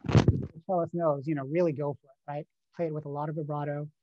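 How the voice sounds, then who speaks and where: A man's voice: on the high side, level tone, a little fast, highs cut off, slight background noise. A man critiquing a student's score in an online composition masterclass, talking casually with many fillers and "right?" checks. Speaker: composition teacher giving feedback. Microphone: video call.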